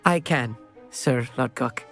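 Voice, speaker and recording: Casting: timid teenage wizard in a video game, scholarly and courteous, hesitant, music underneath. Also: male voice, mid-range voice, takes it slowly, vocal fry, fairly bright tone. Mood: nervous, deferential. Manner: earnest, deferential, hesitant. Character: bookish, timid, polite teenage boy student wizard.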